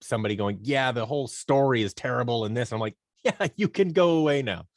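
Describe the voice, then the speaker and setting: A man's voice: medium pitch, animated, fairly quick, no room sound, studio quality. A man talking casually as a podcast guest, informal and given to acting out little quoted remarks. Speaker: podcast guest, audio drama producer. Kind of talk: casual conversational interview answers.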